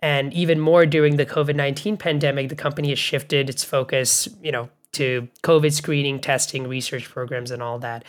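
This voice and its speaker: A man from Bangladesh co-hosting a podcast interview, speaking in a reflective, measured way with frequent restarts. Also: medium pitch, fairly even intonation. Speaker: podcast co-host. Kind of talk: conversational interview.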